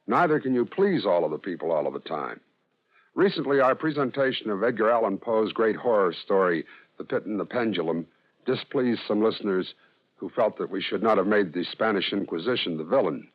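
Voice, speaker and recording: A man's voice: lowish voice, animated. Formal old-time radio announcer man. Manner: formal, measured, explanatory. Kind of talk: scripted radio introduction. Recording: dull lo-fi old radio broadcast.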